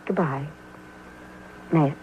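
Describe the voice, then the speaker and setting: An actress playing a sad, guarded frontier woman with halting American speech, in old-time radio western style. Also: deep voice. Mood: sad, hesitant. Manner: halting, stammering, reticent. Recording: muffled, hissy old radio recording.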